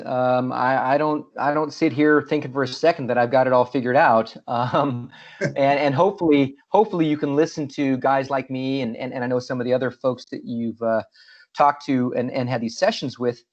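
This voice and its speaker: Middle-aged man, easygoing guest talk with many restarts, muffled call audio. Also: mid-range voice. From California, USA. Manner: friendly, with many restarts and repeated words. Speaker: guest speaker. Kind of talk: casual presentation. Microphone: video call.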